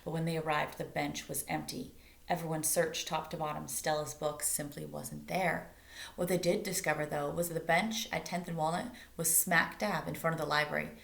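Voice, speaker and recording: Creaky-voiced woman reading a children's story. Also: deep voice, steady tone, moderate pace, a bit bright. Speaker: read-aloud storyteller. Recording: roomy, echoey indoor sound.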